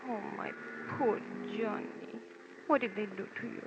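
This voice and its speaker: Woman playing anxious lady smuggler, high voice, pleading, solicitous, melodramatic radio drama, dull recording. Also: expressive, brisk, very noisy. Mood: tender, concerned. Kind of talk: old-time radio detective drama acting.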